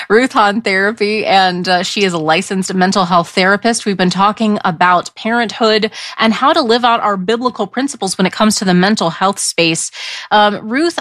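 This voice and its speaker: A woman's voice: mid-range voice, a bit bright, no room sound, studio quality. A woman hosting a conversational interview, often repeating words as she frames her thoughts. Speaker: podcast host, interviewer.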